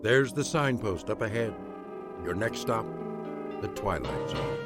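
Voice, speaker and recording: A man giving scripted dramatic narration in a measured, ominous and solemn manner. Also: on the low side, lively intonation, music in the background. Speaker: narrator and series host.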